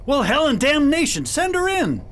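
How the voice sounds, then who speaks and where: A man playing a hot-tempered, impatient patrol officer in a science fiction radio drama, gruff and exclaiming. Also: very high voice, wildly swooping pitch, moderate pace, fairly bright tone, slight background noise, heavily acted. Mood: irritated, blustering.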